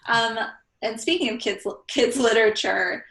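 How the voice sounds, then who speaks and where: A woman's voice: on the high side, moderate pace, vocal fry, a bit bright. A woman librarian hosting a virtual interview on a video call, upbeat and enthusiastic. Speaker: youth services librarian, host. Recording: echoey room on a call.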